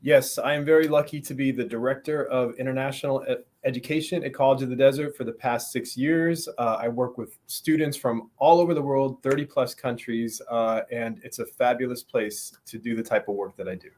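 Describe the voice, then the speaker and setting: A middle-aged man who runs international education at a college, answering with easy enthusiasm. He has a mid-pitched, ordinary voice and a brisk, upbeat way of praising his school. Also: a little mellow.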